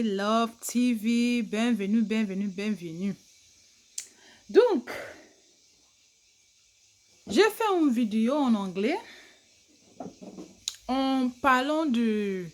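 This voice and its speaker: Chatty anglophone woman, slow French. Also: on the high side. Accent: English-speaking. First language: English. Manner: chatty, animated, laughs, broken French with English phrases. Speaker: vlogger. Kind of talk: casual advice vlog to camera.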